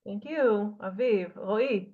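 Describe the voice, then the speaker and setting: A woman leads an online class meeting, thanking each participant warmly and briefly before calling the next name. Her voice comes through a dull, lo-fi call line that softens its edges. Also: mid-range voice, slow pace. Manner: friendly, brief thanks between speakers. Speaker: course moderator.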